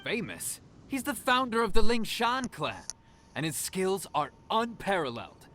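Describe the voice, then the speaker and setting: A man's voice: high-pitched, very animated, measured pace, pushed and bright, slight background noise, heavily acted. A man playing an earnest, proud young martial arts disciple in a video game, speaking with emphasis.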